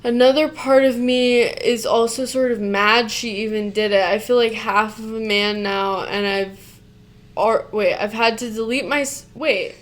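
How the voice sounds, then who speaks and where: A woman's voice: on the high side, steady tone, measured pace. A woman reading a post aloud and breaking off into blunt reactions that swear casually, sometimes stumbling and restarting mid-sentence. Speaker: co-host reacting to a Reddit post.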